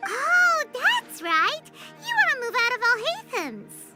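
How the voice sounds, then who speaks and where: A tiny fairy companion from a video game, extremely high and squeaky. She is perky, blunt and childlike, leaping wildly in pitch over prominent music. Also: slow pace, exaggerated voice. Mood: excited. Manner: excitable, blunt.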